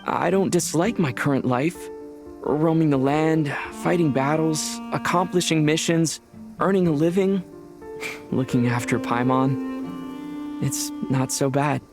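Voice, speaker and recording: A young wandering hero from a fantasy game, earnest and wistful at heart. His voice is on the high side for a man and sincere, with music clearly playing beneath. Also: slow pace. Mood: tender, wistful. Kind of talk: video game voice acting.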